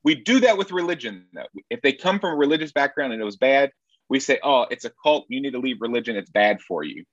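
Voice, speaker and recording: A man's voice: mid-range voice, expressive, fast, fairly bright tone, no echo, highs cut off. A man from Nashville, Tennessee, speaking as a sex therapist guest in an earnest, wry, reflective way. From Nashville, Tennessee, USA. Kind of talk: conversational interview answers.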